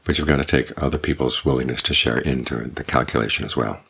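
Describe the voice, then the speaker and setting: A man, a Buddhist monk, giving a measured, deliberate dharma talk. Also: rumbling low, a bit bright, husky.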